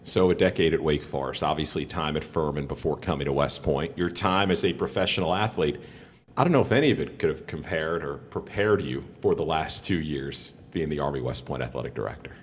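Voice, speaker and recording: Deep voiced guy hosting sports podcast, asks long deliberate questions, bit of rumble and reverb. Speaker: sports broadcaster and interviewer.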